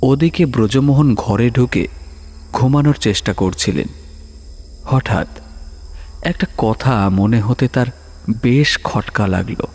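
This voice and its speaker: A man narrating a horror story in a dramatic, suspenseful way, with pauses for effect. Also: medium pitch, husky. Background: soft music.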